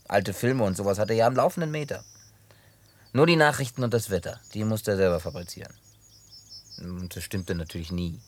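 Low-voiced grumbling drifter. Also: male voice. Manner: colloquial, grumbling storyteller. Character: gruff, hot-headed but harmless male drifter, former bar owner. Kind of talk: German radio play acting.

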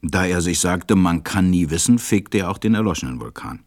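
A man playing a gentle, reflective storyteller, narrating a storybook radio play in a measured way. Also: deep voice, lively intonation.

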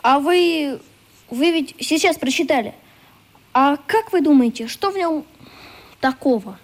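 High-voiced earnest schoolboy, in echoing reverb. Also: female voice, expressive, unhurried. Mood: open, matter-of-fact. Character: earnest, hurt schoolboy who fled summer camp. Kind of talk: radio play acting. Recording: roomy studio with long reverb.

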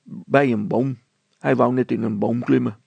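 Storybook constable, played by a male reader: stubborn, ponderous, suspicious, with a rough creaky voice. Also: mid-range voice, fairly even intonation, measured pace, a little mellow, dry recording, muffled recording, heavily acted. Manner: hesitant, ponderous, solemn. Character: stubborn, self-important male village constable, solemnly suspicious.

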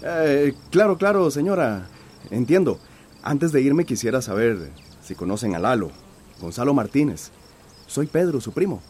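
A man's voice: medium pitch, big pitch swings, normal speed, a little mellow, slight background noise, lightly acted. A man playing a polite, hesitant newcomer to a village in a radio drama. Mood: flustered, courteous. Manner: courteous, hesitant.

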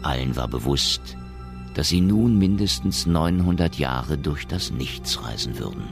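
A solemn narrator for a science fiction radio drama. The voice is extremely low and gravelly, with measured storytelling over clearly audible music. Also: male voice, animated, exaggerated voice. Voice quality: very deep, gravelly.

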